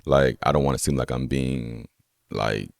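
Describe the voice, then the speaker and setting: A young man with a deep voice, a personal trainer chatting casually as a podcast guest, relaxed and rambling with lots of "you know what I'm saying.". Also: normal speed, creaky, dry recording, studio quality. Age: young adult. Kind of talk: casual conversation.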